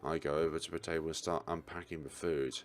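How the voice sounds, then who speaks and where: A man's voice: a bass voice, steady tone, fast talker, faint music behind. A man reading story text aloud as a let's play host, with casual reactions and groaning asides.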